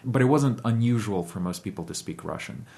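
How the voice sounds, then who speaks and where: A man in his thirties, from Ukraine, telling an interviewer about his childhood in a steady, reflective way with frequent ums and uhs. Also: lowish voice, fairly quick, gravelly. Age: 30s. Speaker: guest. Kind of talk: interview storytelling.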